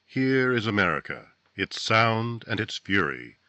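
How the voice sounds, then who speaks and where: An actor playing a grave, declamatory announcer in 1950s radio style. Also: male voice, lowish voice, very animated, a little slow, no echo, muffled recording, exaggerated voice. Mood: grand, solemn. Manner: declamatory, portentous. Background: loud dramatic music.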